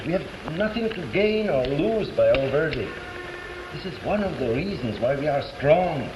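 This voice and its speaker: An actor playing a principled, courteous immigrant juror who speaks formally and deliberately in a 1950s live television drama. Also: male voice, fairly high pitch, big pitch swings, music in the background. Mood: earnest. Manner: formal, deliberate, word-searching. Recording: echoey, noisy 1950s television broadcast.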